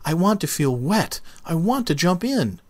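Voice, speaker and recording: A man's voice: fairly high pitch, sing-song, a little slow, pitched above the performer's own voice. A man voicing an eager, dreamy little seed boy in a children's storybook, full of wide-eyed yearning. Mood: excited, longing. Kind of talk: children's storybook read-aloud.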